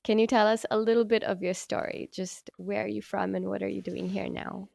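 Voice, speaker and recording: A woman hosting a podcast, giving an expressive, playful introduction and then interviewing a guest. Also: mid-range voice, brisk, creaky, no room sound, clean studio sound. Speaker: podcast host.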